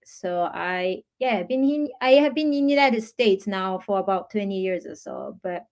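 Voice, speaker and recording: A woman in her forties who grew up in Japan speaks English as her second language on a video-call panel. She searches for words and fills her pauses often. Her pitch sits in the middle for a woman. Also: darkish tone. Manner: searching for words, frequent fillers.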